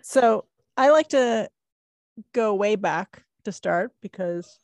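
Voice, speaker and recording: A woman's voice: mid-range voice, takes it slowly, a little mellow, dry recording. A middle-aged woman hosting a podcast, reading a scripted intro and then chatting with a guest in an upbeat, enthusiastic way. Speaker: podcast host.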